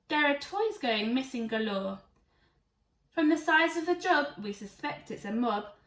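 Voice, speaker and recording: A woman's voice: sits high, animated, a little slow, a bit bright, far from the mic. A woman plays a police sergeant in a children's rhyming storybook. The orders come urgent, emphatic and commanding. The room echoes and the mic sits a little way off. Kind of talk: storybook read-aloud.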